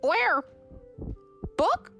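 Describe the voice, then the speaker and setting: An excitable little fantasy creature, with an extremely high, piercing, bright voice. It blurts short, simple bursts, eager for action and swinging wildly in pitch. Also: female voice, drawn-out words, gravelly, soft background music, full character voice. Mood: excited. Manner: speaks in blunt single-word bursts.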